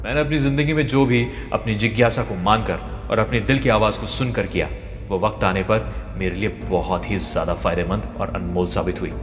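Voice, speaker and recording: Male narrator, slightly deep, measured motivational storytelling, clear music bed under an echoey voice track. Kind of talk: scripted motivational narration of a translated speech. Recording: echoey, long reverb, music behind. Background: background music.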